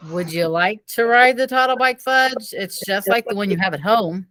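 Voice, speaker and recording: Lady teacher reading story dialogue out loud, normal pitch, bit off mic. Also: moderate pace, dry recording. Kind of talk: read-aloud storybook dialogue.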